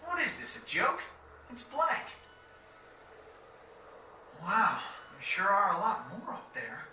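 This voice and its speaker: Bright, high-pitched brash boy. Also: wildly swooping pitch, slow, reverberant, far from the mic, a little hiss or hum, exaggerated voice. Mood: brash, casual. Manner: blunt, casual, slangy. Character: brash, excitable young teenage boy hero. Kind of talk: video game cutscene acting.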